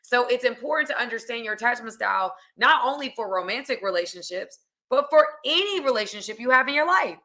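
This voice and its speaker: Raspy woman hosting a podcast. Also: fairly high pitch, expressive, fairly quick. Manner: casual, addresses listeners warmly. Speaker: podcast host. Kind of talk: podcast chat.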